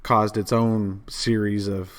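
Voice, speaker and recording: A sick man with a hoarse voice, co-hosting a casual fantasy football podcast and chatting easily with plenty of fillers. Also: on the low side, little pitch movement, slow pace. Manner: easygoing, lots of fillers. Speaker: podcast co-host. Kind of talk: casual chat.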